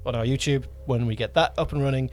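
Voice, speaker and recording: A man's voice: mid-range voice, fairly even intonation, quick pace, soft background music. A man hosting a casual producer podcast, talking emphatically and repeating words for stress. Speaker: podcast host, audio drama producer and director.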